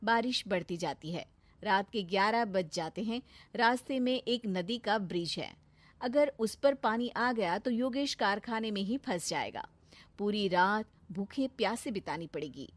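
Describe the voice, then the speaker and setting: A woman's voice: mid-range voice, lively intonation, measured pace, dry recording. A woman storyteller reading a scripted moral tale for children.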